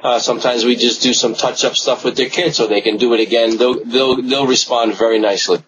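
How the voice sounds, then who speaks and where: A man's voice: mid-range voice, steady tone, a little fast. Plain-spoken man over noticeable noise. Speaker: dentist being interviewed. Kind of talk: conversational explanation.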